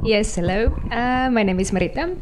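A woman with an Estonian accent, introducing herself on a panel in a halting way with many fillers. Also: on the high side, heavy background noise.

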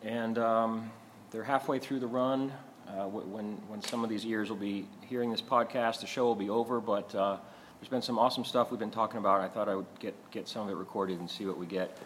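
A man hosting a casual podcast interview in a halting, hesitant way. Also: on the low side, fairly even intonation, a bit bright, slight background noise, rough recording. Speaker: podcast host. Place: artist's studio.

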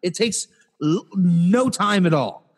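A young man co-hosting a casual podcast, ranting in an exasperated, animated way with lots of restarts. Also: high-pitched, takes it slowly, slightly rough. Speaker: podcast co-host. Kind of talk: casual chat.

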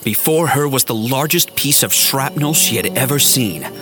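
A man's voice: mid-range voice, lively intonation, moderate pace. A man giving a scripted, storytelling narration for a science fiction audio drama. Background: music.

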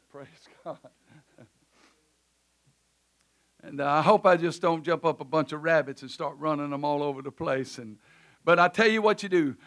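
A man preaching a sermon in a church service, loud at times and then dropping to quiet asides. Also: on the high side. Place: church sanctuary.

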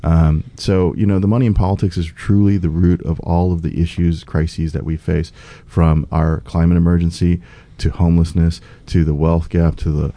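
A man's voice: sits low, level tone, normal speed, hardly any pauses, dark tone, dry recording. A middle-aged man from the United States, a political candidate speaking earnestly in a conversational interview, with many fillers and restarts.

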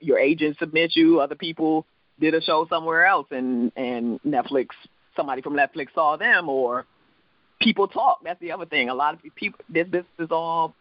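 Comedian, mixed island-Midwest accent, higher voice, rambling and easygoing, dry muffled recording. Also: male voice, no room sound. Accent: mixed island, Midwestern and New York. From US Virgin Islands. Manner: loose, rambling, laughs often, swears casually. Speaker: comedian and show producer. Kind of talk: casual interview chat.

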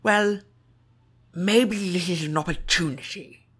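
Male narrator as wily goblin banker, meek front, servile, halting questions, deferential, audiobook fantasy character. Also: high voice, fairly bright tone, husky, voice pushed up, exaggerated voice. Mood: tentative.

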